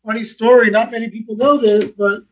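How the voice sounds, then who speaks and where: A man in his forties with a notably high voice, talking in a relaxed, chatty way as a podcast guest. He tells stories easily and sits a little back from the microphone. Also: fairly quick, distant mic, slight background noise, rough recording. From West Los Angeles. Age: 40s. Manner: easygoing, chatty storyteller. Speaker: guest, real estate investment advisor. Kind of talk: casual podcast interview.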